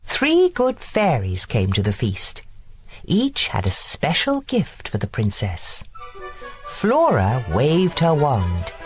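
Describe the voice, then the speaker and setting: A woman narrating a bedtime fairy tale, slow and expressive, with music behind. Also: very deep voice, husky. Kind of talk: bedtime story reading.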